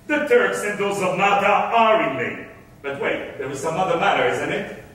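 A man's voice: high voice, expressive, moderate pace, a bit bright. An actor playing a wealthy, shrewd, self-serving merchant and father in theatrical classical stage verse. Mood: scornful, unconcerned. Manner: theatrical, scheming. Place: theatre stage with music stands. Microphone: far camera. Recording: echoey hall, recorded from far off.

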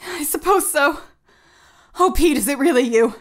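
A woman's voice: sits high, animated, normal speed. A woman playing a frightened, bewildered, pleading woman in an audio drama, earnest and hesitant, in a roomy, noisy recording. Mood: shaken, relieved. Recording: roomy echo with clear background noise.

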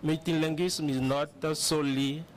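A man's voice: on the high side, fairly even intonation, moderate pace, a little hiss or hum. A man speaking on a conference panel for his organization, emphatic and hesitant. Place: large conference hall.

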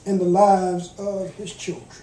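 An older man preaching a sermon from a church pulpit with a deliberate, emphatic cadence. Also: sits high, flat delivery, warm tone. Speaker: guest preacher, minister. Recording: echoey church room.

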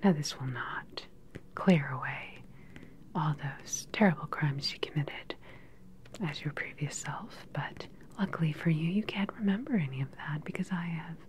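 A woman playing a calm, sinister cyborg scientist in an ASMR roleplay, speaking close to the mic in a slow, deliberate, soothing and clinical way. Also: sits low, natural voice. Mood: coolly sinister. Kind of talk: ASMR soft-spoken whispered roleplay. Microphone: close ASMR microphone.

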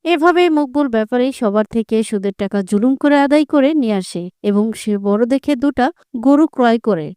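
A woman's voice: on the high side, lively intonation, normal speed, mellow, clear, resonant tone, dry recording, clean studio sound. A woman narrating a scripted cartoon story.

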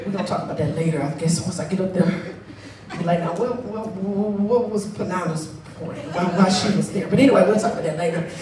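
Woman, 39, preacher, handheld mic, playful then shouting, roomy hall, distant sound. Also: on the low side, fairly quick, noisy background. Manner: conversational preaching that builds to shouting, playful asides. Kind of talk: sermon. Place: large church sanctuary, stage. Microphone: handheld microphone. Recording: echoey hall, far mic, crowd responses. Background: congregation calling back.

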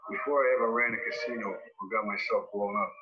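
An actor playing a cool, hard-boiled casino boss in a film voice-over. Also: male voice, fairly low pitch, fairly even intonation, brisk, lightly acted. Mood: matter-of-fact. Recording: muffled film clip.

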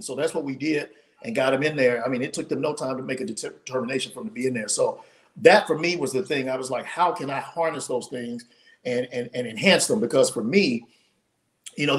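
Guest author, forties, male, animated storyteller on a home video call, voice tiring. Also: medium pitch. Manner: animated, storytelling with many restarts. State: losing his voice. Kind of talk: casual interview storytelling. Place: home room, indoors.

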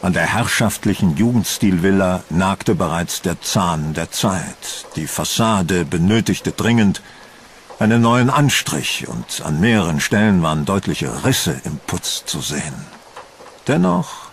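A man narrating a scripted horror audio drama in a measured, solemn storytelling manner. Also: sits low, expressive, a little hiss or hum.

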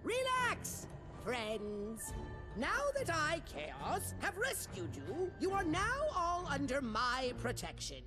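A man's voice: very high-pitched, huge pitch swings, slow, over music, full character voice. A man playing a small, megalomaniac cartoon villain with a pompous, bombastic, theatrical delivery. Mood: boastful. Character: small bald shrill megalomaniac male evil overlord.

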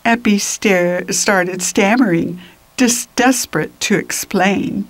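An older woman reading a children's picture book aloud in an expressive, deliberate manner that drops into whispers, with little asides to the listeners. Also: medium pitch, no room sound. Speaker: children's storybook reader.

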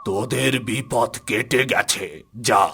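A man voicing a pompous village exorcist in a cartoon, commanding, with drawn-out incantatory speech. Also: medium pitch, steady tone, creaky. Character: pompous male village exorcist chanting nonsense spells. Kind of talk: cartoon voice acting. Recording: faint music behind the voice.

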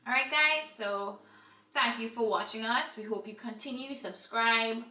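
Bright Trinidadian woman, animated vlogger. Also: a little high, a little slow. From Trinidad and Tobago. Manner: bubbly, animated, laughs often. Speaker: vlogger co-host. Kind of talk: casual couple vlog intro. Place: small indoor room against a wall. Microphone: camera a few feet away. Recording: roomy echo from distant camera.

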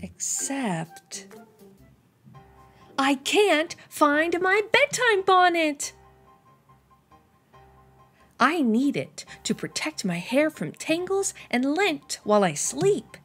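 A woman's voice: high voice, soft background music. A young woman host reading a picture book aloud expressively for young children. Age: young adult. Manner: animated, deliberate delivery for young children. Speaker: children's storytime reader and host.

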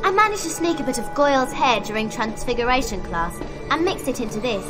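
A woman's voice: high-pitched, expressive, fairly quick, over music. A woman with an English accent voicing a clever, earnest, bossy schoolgirl witch in a video game. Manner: earnest, explanatory. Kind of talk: video game cutscene acting.

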